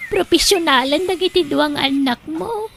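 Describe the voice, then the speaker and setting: A dramatic village matron in an audio play, with an Ilocano accent. Her voice is high and sharp-edged, full of open emotion. Some noise sits behind her. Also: moderate pace, vocal fry. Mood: admiring, apologetic. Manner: emotive, theatrical.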